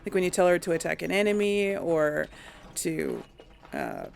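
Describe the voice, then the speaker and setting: A woman's voice: lowish voice, huge pitch swings, normal speed, vocal fry, a little hiss or hum. A woman doing casual game commentary, musing aloud to herself and her audience. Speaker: let's play host. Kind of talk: casual game commentary with some role play.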